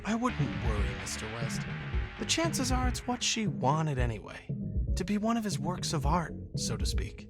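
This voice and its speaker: A man playing a smug, probing interrogator in a scripted game scene, polished and deliberate, with a condescending, taunting delivery. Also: on the high side, big pitch swings, loud music behind the voice. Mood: smug, mocking. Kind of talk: scripted game cutscene acting.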